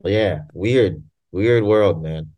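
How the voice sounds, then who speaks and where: A man's voice: sits low, very slow, mellow, dull audio. A man from Tanzania chatting casually and animatedly over a video call, laughing and swearing. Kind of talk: casual chat.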